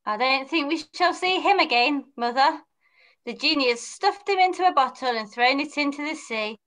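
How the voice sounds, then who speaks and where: A woman's voice: high voice, animated, normal speed, a bit bright. A young woman playing a brave, earnest young male hero in an amateur pantomime read-through on a video call. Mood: resolute.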